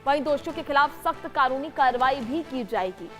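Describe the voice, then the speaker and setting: Woman reading tv news, high voice, emphatic formal delivery, music underneath. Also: animated, a little fast, a bit bright. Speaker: news anchor. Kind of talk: broadcast news narration.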